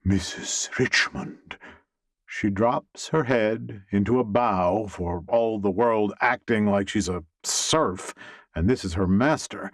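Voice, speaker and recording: A man narrating a first-person novel as an audiobook, expressive and wry. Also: fairly low pitch, slow pace, dry recording, clean studio sound.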